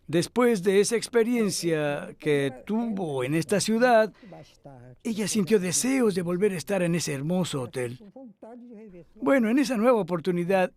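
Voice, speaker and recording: An older man answering interview questions in a thoughtful, measured way, with pauses and fillers. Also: sits high. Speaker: interviewee, literary scholar. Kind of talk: Spanish voice-over of an interview.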